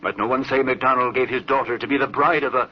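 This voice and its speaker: A man's voice: medium pitch, fairly even intonation, quick pace, close to an everyday voice. An actor playing a proud, hot-tempered Scottish clan lord and father in a declamatory old radio horror drama. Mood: furious, indignant. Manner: blustering, declamatory. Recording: muffled, hissy old radio broadcast.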